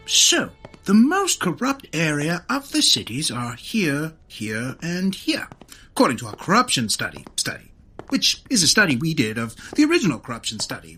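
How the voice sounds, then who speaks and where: Blustering, husky police commissioner. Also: female voice, low-pitched, a bit bright, heavily acted. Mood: pompous, bustling. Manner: pompous, oratorical, dramatic. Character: blustering, righteous, theatrical police commissioner Theodore Roosevelt. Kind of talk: comic audio drama acting.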